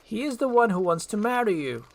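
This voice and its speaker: A woman's voice: mid-range voice, sing-song, fairly quick, vocal fry. Woman acting a grumpy, sarcastic ogre. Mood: annoyed, blunt. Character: grumpy, sarcastic male ogre, reluctant rescuer.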